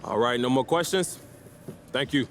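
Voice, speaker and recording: An actor playing a confident young basketball star giving slangy, casual press conference answers in a video game. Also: male voice, mid-range voice, normal speed, slight background noise, lightly acted. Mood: cocky, playful. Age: young adult. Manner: cocky, slangy, laid-back.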